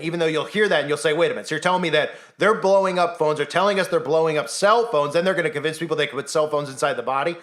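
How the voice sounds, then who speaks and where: A man with a slightly high voice talks as an online commentator in a loose, informal monologue. He is insistent and rhetorical, checking in with the listener as he goes. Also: fairly bright tone. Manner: insistent, rhetorical, often tags statements with "right?".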